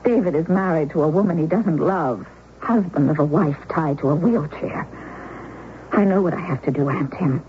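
A woman's voice: deep voice, normal speed, dull audio, a little hiss or hum, lightly acted. A woman playing a lovesick, intense young woman who tells of her doomed romance in a dramatic, confessional radio drama style. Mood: anguished, resolute. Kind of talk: old-time radio drama, first-person narration and dialogue. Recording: thin old radio broadcast, music behind.

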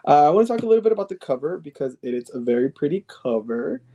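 A man's voice: a little high, sing-song, quick pace, warm tone, dull audio. A man in his twenties hosting a podcast interview, chatty and casual, often restarting his phrases with lots of fillers. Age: 20s. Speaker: podcast host.

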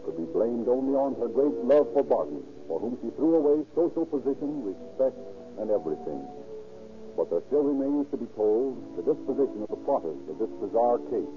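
A man's voice: mid-range voice, sing-song, a little fast. A man reading scripted narration as a formal, emphatic 1930s radio announcer. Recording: tinny 1930s radio recording with music.

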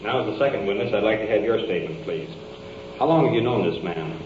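A man's voice: lowish voice, lively intonation, quick pace. A man working as a police sergeant, formally and politely questioning witnesses. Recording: dull, echoey, faintly noisy field recording.

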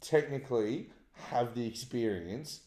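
An Australian man co-hosting a casual sports podcast, husky from yelling at a game, swearing freely. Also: medium pitch, animated, measured pace. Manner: swears freely, laughs a lot. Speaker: podcast co-host. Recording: roomy echo.

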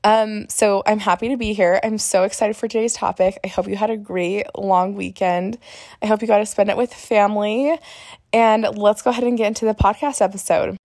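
A young woman hosting her own podcast, still a little hoarse from laryngitis, chatting in an upbeat way with fillers and quick self-corrections. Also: mid-range voice, steady tone, a little mellow, no room sound, studio quality. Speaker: podcast host, marketer. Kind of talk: casual solo podcast talk.

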